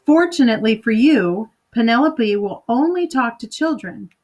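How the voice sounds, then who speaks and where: A woman's voice: fairly high pitch, expressive, moderate pace, darkish tone, soft background music, close to an everyday voice. A woman voicing a kindly, confident older woman who reassures a worried mother. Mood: reassuring.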